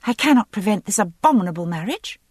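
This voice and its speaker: A woman's voice: mid-range voice, animated, fast, a little mellow, slightly rough, no echo, studio quality, voice pushed up. A woman voicing a spoilt, headstrong aristocratic girl of seventeen in an audiobook, imperious, petulant and insistent. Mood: defiant.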